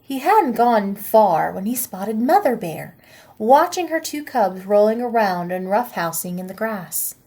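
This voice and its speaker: A woman teacher reading a children's picture book aloud with slow, expressive storytime delivery. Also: mid-range voice.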